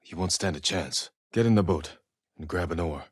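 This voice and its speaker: Low, husky, stern samurai. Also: male voice, dry recording, clean studio sound. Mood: grave, resolute. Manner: restrained, measured, terse delivery. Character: stern, honorable young samurai warrior, weary but resolute. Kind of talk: dramatic video game acting.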